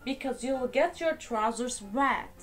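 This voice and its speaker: Woman acting out an exasperated storybook dad, swooping pitch. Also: animated, slow, soft background music. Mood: explaining. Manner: emphatic, pleading explanations. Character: patient but exasperated father of a small girl. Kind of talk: storybook character voice.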